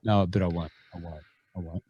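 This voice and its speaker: A man's voice: deep voice, steady tone, a little fast, dark tone, husky, no echo, highs cut off. A man co-hosting a golf rules podcast, chatting casually in a jokey, rambling way. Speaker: podcast co-host.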